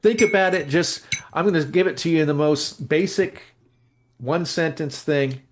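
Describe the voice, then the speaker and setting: A man's voice: fairly high pitch, normal speed. A man co-hosting a casual podcast, rambling and blunt, often searching for words mid-sentence. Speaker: co-host, musician.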